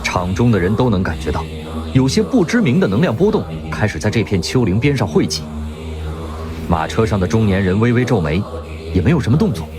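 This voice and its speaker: Expressive male drama narrator. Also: lowish voice, brisk. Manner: vivid, expressive storytelling. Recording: music underneath.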